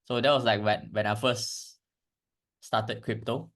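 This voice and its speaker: A twenty-two-year-old man from Malaysia chatting casually as a podcast guest, halting and repeating himself. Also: lowish voice, fairly even intonation, fairly bright tone. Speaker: podcast guest, crypto trader. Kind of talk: casual chat.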